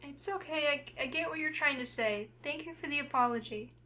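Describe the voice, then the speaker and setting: A woman's voice: sits high, a little fast. A woman playing a feisty, easily flustered young heroine in a visual novel, speaking expressively. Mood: firm, calmer. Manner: expressive, indignant when provoked. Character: feisty, flustered young woman narrating her own romance.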